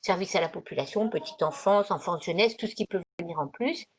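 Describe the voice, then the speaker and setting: A woman's voice: fairly low pitch, brisk, slight background noise. A woman presenting slides as a trainer in an online session, explaining in a conversational, didactic way and rephrasing as she goes. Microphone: video conference microphone. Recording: dull, lo-fi video call audio.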